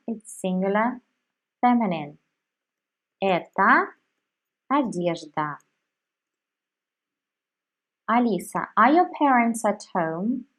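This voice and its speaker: Very slow, deliberate woman teacher. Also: medium pitch, a bit bright. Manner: says each word separately with long pauses. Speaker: language teacher. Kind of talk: slow, deliberate language lesson.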